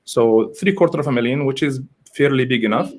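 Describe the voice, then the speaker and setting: A man's voice: medium pitch, fairly quick, a crowd in the background. Rambling man from Egypt on a video call. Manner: rambling, self-correcting, enthusiastic. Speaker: real estate agent, guest. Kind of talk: casual live interview.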